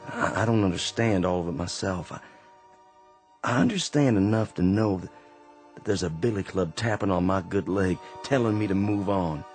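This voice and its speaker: An actor playing a weary, quiet Confederate sergeant in a radio drama, speaking slowly and earnestly and often repeating words as he searches for them. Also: deep voice, natural voice. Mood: resolute. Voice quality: gravelly. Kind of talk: old-time radio drama acting. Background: faint underscore music.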